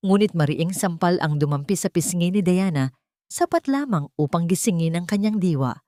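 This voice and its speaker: A woman's voice: lowish voice, darkish tone, dry recording, clean studio sound. A woman narrating a dramatic story in a solemn, melancholic scripted style.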